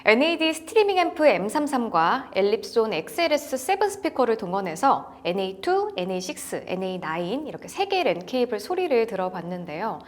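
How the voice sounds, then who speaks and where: Lively-pitched woman co-hosting a review. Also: brisk, hardly any pauses. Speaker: co-host of an audio gear review show. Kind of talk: conversational product review.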